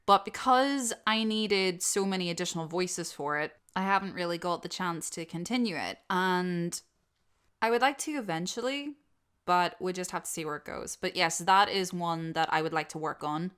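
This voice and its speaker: Bright, clear-voiced woman chatting casually. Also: on the low side, normal speed. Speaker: audio drama creator answering subscriber questions. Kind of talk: casual unscripted Q&A chat.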